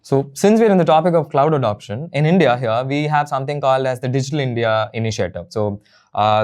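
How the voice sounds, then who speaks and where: A man hosting a roundtable panel, moving it along with short, upbeat prompts and quick reactions. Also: mid-range voice, darkish tone. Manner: upbeat, brief interjections. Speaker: host, moderator. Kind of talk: roundtable discussion.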